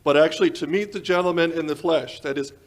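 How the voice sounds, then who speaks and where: A man plays an old-time radio announcer from the 1940s. His voice sits a little high, with polished, emphatic phrasing and the eager push of a sponsor's pitchman. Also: fast, lightly acted. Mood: admiring. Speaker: announcer and host.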